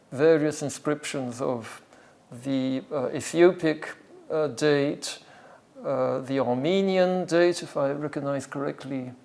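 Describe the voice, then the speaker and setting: A male academic speaker with a strong gravelly creak and a middling pitch. He explains things off the cuff in a hesitant, halting way, thinking aloud as he lectures. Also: a little mellow. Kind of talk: academic talk with extempore explanation.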